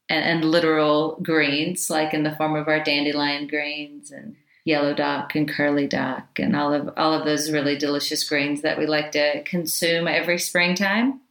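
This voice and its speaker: A woman teaching Chinese medicine in a loud, chatty way, full of asides. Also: sits low. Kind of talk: informal teaching talk. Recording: echoey room.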